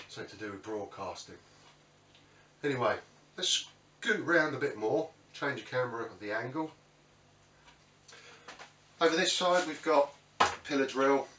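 A man's voice: mid-range voice, a bit bright. British man, casual rambling tour talk, echoey workshop, faint background noise. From United Kingdom. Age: middle-aged. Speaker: host of a woodworking channel. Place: small cluttered indoor home workshop. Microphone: camera mic a few metres away. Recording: roomy, distant mic, faint background noise.

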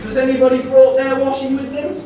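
Man playing a bouncy, high-pitched pantomime comic. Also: completely flat delivery, a little fast. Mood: excited, rousing. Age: young adult. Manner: exaggerated, bouncy, projected. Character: cheeky, excitable young male pantomime comic. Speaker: pantomime actor. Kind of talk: British pantomime stage acting, projected to audience. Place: indoor theatre stage. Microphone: distant camera. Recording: echoey theatre stage from far off, music.

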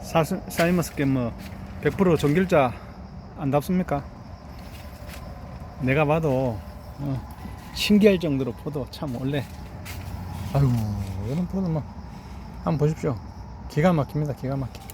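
A man's voice: fairly high pitch, moderate pace, long pauses. A middle-aged grape farmer with a slightly raised male voice talks proudly and boastfully about his crop as he walks through an outdoor vineyard. He keeps urging the viewer to take a look. Faint outdoor noise hums behind him. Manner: proud, boastful, repeats "look here" and asks "eh?". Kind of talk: casual vlog walkthrough.

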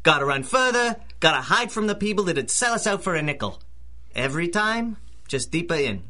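An actor playing a desperate small-time stick-up man in a 1930s-style radio crime drama, excitable, bitter and slangy. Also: high-pitched, fairly quick, exaggerated voice. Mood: bitter, agitated.

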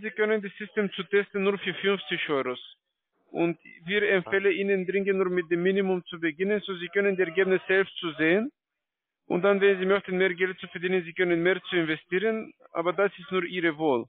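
A man with a foreign accent speaks in a high voice over a tinny phone line with no room sound. He recites a sales pitch haltingly, as a non-native speaker. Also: no room sound. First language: not German. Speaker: call-centre salesman.

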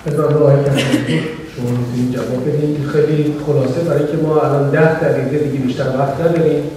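A man's voice: fairly high pitch, fairly even intonation. A man moderating a panel, courteous and brief, urging speakers to keep it short. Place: library auditorium. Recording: echoey hall from far off, noisy.

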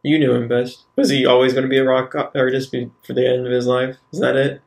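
A man's voice: mid-range voice, little pitch movement, fast, creaky, a little mellow. A man playing a tabletop roleplaying game, speaking in character, with roomy echo on the recording.

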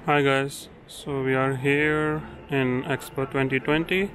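A man's voice: little pitch movement, takes it slowly, fairly bright tone. A man narrating a casual walk-around vlog in a hesitant, unscripted way. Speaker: vlogger. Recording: noisy outdoor site, ambient rumble.

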